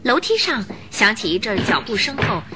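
A woman's voice: fairly high pitch, sing-song, moderate pace, loud music behind the voice. A woman narrating a radio drama in a vivid, dramatic storytelling manner.

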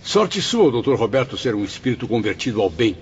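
A muffled radio drama recording with faint noise and a man acting as a formal hospital patient. His delivery is theatrical and pointed, his pitch swooping widely. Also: big pitch swings, a little fast, a little mellow, close to an everyday voice. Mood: indignant, sarcastic. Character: sarcastic male patient, formal and indignant.

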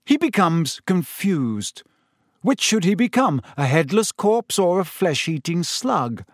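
A man voicing a kindly, wry male teacher who speaks in a gentle, measured, amused way. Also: high-pitched, sing-song, no echo, clean studio sound, voice pushed up, heavily acted. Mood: patient, instructive.

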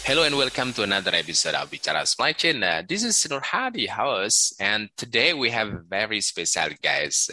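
Podcast host, man, low-pitched, animated pitch, crisp dry sound. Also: no echo. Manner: upbeat, with "uh" fillers. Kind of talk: interview hosting.

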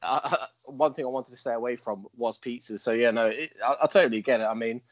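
A man's voice: mid-range voice, dry recording, dull audio. A man and professional wrestler in a casual interview, with frequent stammered restarts. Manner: stammering restarts, quick laughs.

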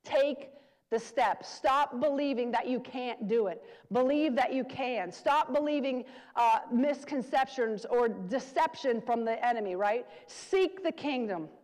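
A woman's voice: sits high, darkish tone. A woman preacher giving a sermon, urging her listeners and repeating key phrases for emphasis. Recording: long hall reverb.

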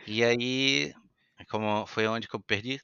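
A young Brazilian man with a light European Portuguese influence in his accent, a podcast guest telling his story in a lively, chatty way. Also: mid-range voice, measured pace, vocal fry, clean tone, no room sound, muffled recording. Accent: Brazilian Portuguese with some European Portuguese influence. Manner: animated, chatty, acts out quoted voices. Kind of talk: casual storytelling interview.

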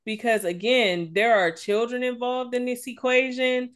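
A woman's voice: on the high side, level tone, measured pace, clear, resonant tone. A woman co-hosting a casual gossip podcast, blunt and emphatic, with plenty of swearing. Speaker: podcast co-host.

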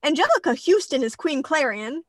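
A woman's voice: high voice, normal speed. A young woman chatting casually with friends, eagerly sharing trivia. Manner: animated, enthusiastic trivia sharing. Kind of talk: casual chat.

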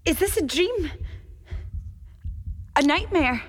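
A woman's voice: extremely high pitch, animated, slow pace, exaggerated voice. An actress playing a frightened but defiant young woman in a gothic audio drama, urgent and insistent. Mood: alarmed.

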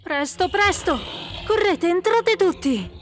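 A woman playing a calm, ruthless sorceress in a radio drama. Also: very high-pitched, slow, noisy background, full character voice. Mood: urgent. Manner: calm, clinical. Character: female sorceress, cool and ruthless. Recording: long hall reverb.

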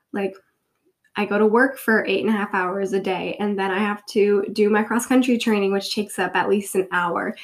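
A young woman in her twenties with a cold, talking casually as host of her own show, her voice congested and sniffly, rambling and joking at her own expense. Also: medium pitch. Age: 20s. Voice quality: nasal, sniffly. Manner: rambling, self-deprecating, giggly. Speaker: podcast host. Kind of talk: casual solo chat.

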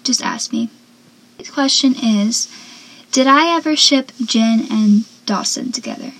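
A seventeen-year-old girl with a soft, young-sounding voice, chatting casually as a podcast host and dropping into whispered asides. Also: a little high, measured pace, rough recording. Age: teens. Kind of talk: casual solo podcast chat.

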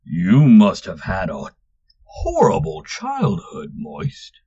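A blunt, mocking barbarian warrior, played by a male narrator. He pokes fun loudly, with a lively rise and fall. The sound is a little muffled. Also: fairly low pitch, slow pace, slightly rough, full character voice.

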